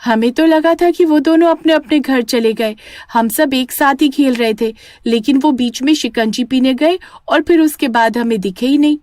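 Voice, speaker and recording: A woman's voice: high voice, moderate pace, a little mellow, dry recording, clean studio sound, pitched above the performer's own voice, close to an everyday voice. A woman voicing an innocent, curious village child in a cartoon story, with an earnest manner. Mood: puzzled, earnest.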